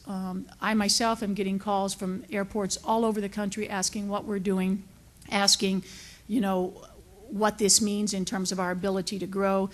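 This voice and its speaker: A woman explaining details to a legislative committee in semi-formal testimony. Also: medium pitch.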